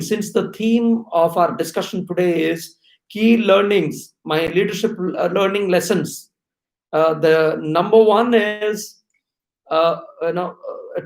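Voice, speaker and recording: A man's voice: sits high, reverberant, rough recording. A man in his forties with an Indian English accent, whose first language is Oriya, hosting a live-stream talk in a chatty style full of fillers. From India, Odisha. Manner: chatty, many fillers like "you know" and "uh". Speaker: YouTube channel host, HR professional.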